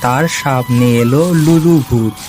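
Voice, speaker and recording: A man's voice: mid-range voice, unhurried, darkish tone, soft background music. A man narrating a scripted children's cartoon story.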